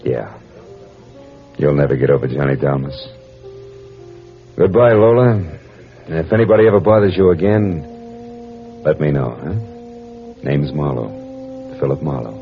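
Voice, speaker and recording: An actor playing a world-weary, wry American private detective in old radio noir style, talking in clipped slang. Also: male voice, a bass voice, measured pace, creaky, long pauses, heavily acted. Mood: gently consoling. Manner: hard-boiled, clipped slang. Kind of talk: 1940s radio noir acting and first-person narration. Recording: dull old radio recording with music.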